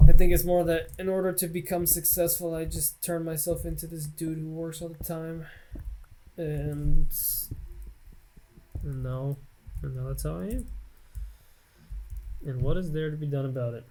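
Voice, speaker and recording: A man's voice: a little high, level tone, moderate pace, pauses often, mellow, slight background noise, rough recording. An American man in his late twenties, rambling and self-mocking as he thinks aloud over gameplay commentary at his home computer. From United States. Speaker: Let's Play gamer.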